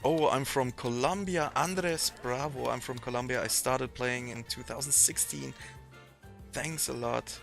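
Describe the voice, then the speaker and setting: A man hosting a casual livestream and reading out viewer comments in a halting, hesitant way. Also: medium pitch, steady tone, creaky, a bit bright, faint music behind.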